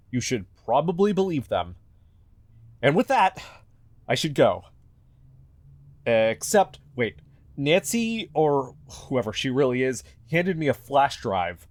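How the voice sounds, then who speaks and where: A man playing an anxious, paranoid fugitive podcaster in an audio drama, earnestly talking to himself in halting mutters. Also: on the high side, dry recording. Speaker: investigative podcast host in an audio fiction. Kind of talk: audio drama found-recording monologue.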